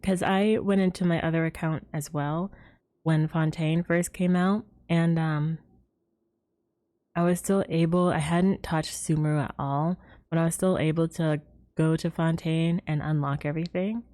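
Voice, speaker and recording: A woman's voice: low-pitched, fairly even intonation, unhurried, warm tone. A woman livestreaming a game, chatting casually and in a giggly way with her viewers. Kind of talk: casual livestream chat while gaming. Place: livestream with game music behind.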